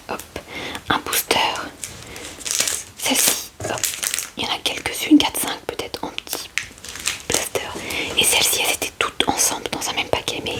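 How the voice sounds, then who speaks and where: Whispering Frenchwoman, close-miked ASMR. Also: rough recording. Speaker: ASMR host showing a card collection. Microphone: close ASMR microphone.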